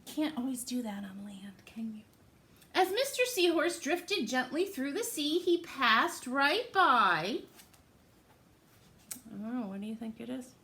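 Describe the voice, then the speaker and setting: Slow-paced woman reading to children, higher-pitched voice. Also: big pitch swings, bright, forceful tone. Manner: asks the young listeners questions and explains words simply. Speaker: teacher reading aloud to young children. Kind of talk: picture-book read-aloud with chatty asides.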